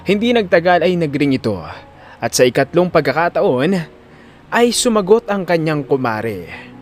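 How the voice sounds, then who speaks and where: Filipino guy narrating a dramatic story, kinda high voice, music underneath. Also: sing-song, normal speed.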